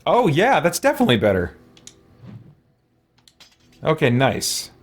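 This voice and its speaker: A man's voice: fairly high pitch, huge pitch swings, unhurried. A man giving casual gameplay commentary, thinking aloud and muttering to himself with mild exclamations. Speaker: host of a role-play gaming playthrough.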